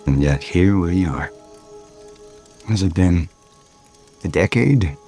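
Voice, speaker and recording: An actor playing a wistful man in his thirties in an audio soap opera, gentle, earnest and halting. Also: deep voice, animated, full character voice. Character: wistful thirty-eight-year-old father, tender with old love. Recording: music behind the voice.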